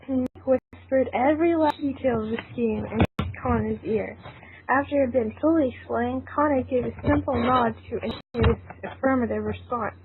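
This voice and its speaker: A woman's voice: fairly high pitch, moderate pace. A woman reading scripted narration for an amateur fan fiction audio drama. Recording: tinny phone-like audio, clearly noisy.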